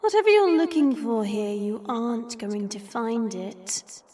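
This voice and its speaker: A woman's voice: fairly high pitch, expressive, unhurried, warm tone, slight background noise. A woman playing a sassy, teasing vampire with a sarcastic, playful drawl in an audio roleplay. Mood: coy, taunting. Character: hidden female vampire, sassy, teasing, unafraid. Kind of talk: audio roleplay drama acting.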